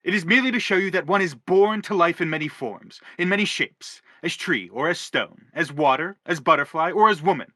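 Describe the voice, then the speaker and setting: A man playing an earnest high-voiced philosophizing father. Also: expressive, brisk, hardly any pauses, bright, forceful tone, dry recording, clean studio sound. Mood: earnest, philosophical. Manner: formal, eloquent, pleading. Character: earnest, philosophizing father character, insistent and courteous. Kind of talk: stage play acting for radio.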